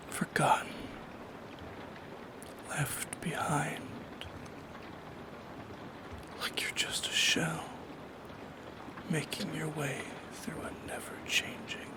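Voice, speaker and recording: Man as a whispering, lonely shadow creature. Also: fairly high pitch. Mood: wistful, yearning. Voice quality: breathy whisper. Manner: slow, hushed, poetic, lingering pauses. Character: lonely, yearning shadow creature, male, gently eerie and intimate. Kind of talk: soft-spoken ASMR roleplay monologue.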